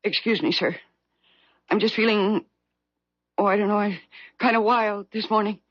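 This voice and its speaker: Female secretary played by an actress, husky and distracted, secretly knowing. Dull vintage radio drama sound. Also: mid-range voice, steady tone, no echo, close to an everyday voice. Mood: dazed, excited. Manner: halting, distracted. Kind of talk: 1970s radio drama acting. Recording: dull 1970s radio recording.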